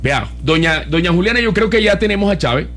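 A man's voice: high-pitched, animated, quick pace, fairly bright tone. A man with a Colombian accent, co-hosting live radio in casual banter. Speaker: radio co-host. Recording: music playing behind the voice. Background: background music.

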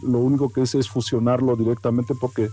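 A man from Oaxaca whose first language is Mazatec, speaking with a Mexican accent as a guest artist in a radio interview, reflective and soft-spoken. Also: medium pitch, little pitch movement, brisk, soft background music. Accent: Mexican Spanish.

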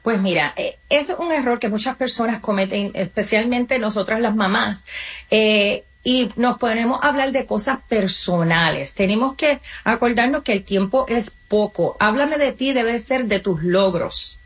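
A woman with a fairly high voice speaks as an interview guest. She gives practical explanations, leaning on examples and talking straight to the listener. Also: normal speed. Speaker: guest, community nonprofit representative. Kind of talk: interview answers, explanatory advice.

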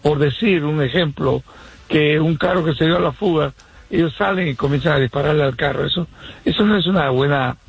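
Caller, man, husky gravelly voice, measured with pauses, radio sound. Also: on the high side, low-quality recording. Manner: measured, pausing often. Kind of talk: call-in radio opinion.

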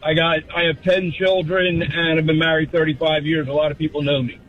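A man's voice: a little high, level tone, brisk, soft background music. Blunt man on a muffled line. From Philadelphia, US. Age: 50s. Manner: insistent, blunt. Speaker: radio show caller. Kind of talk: casual phone chat. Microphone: phone call into radio show. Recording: muffled narrow-band phone call.

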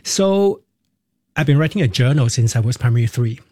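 A man's voice: medium pitch, lively intonation, normal speed, dark tone, dry recording, clean studio sound. A man in his fifties with a Singaporean accent, a Cantonese native speaker, telling his life story as an interview guest in a reflective, candid way with short restarting phrases. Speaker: interview guest, musical theatre performer.

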